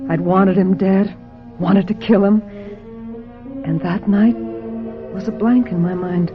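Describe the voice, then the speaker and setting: A woman playing a distraught, guilt-ridden film actress in an old-time radio drama, halting and melodramatic. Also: mid-range voice, steady tone, narrow, tinny audio, music in the background, natural voice. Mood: despairing, guilt-ridden.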